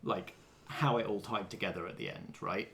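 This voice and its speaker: A man's voice: on the low side, expressive, moderate pace, creaky, fairly bright tone. A man hosting a podcast as game master, chatting casually about a game. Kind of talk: casual backstage discussion.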